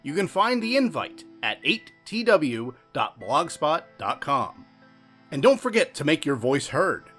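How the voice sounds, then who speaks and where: Male credits announcer, scripted read, lively swings in pitch, guitar behind. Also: unhurried. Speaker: announcer reading closing credits. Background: guitar music.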